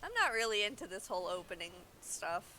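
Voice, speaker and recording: Female game streamer, informal and explanatory, bright forward voice, faint background noise. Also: mid-range voice, big pitch swings, brisk. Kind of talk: casual chat, explaining game story while playing.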